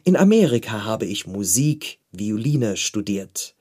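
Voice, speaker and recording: Slow, measured German man reading an article. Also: lowish voice, big pitch swings, dark tone, breathy edge. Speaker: reader of a magazine article.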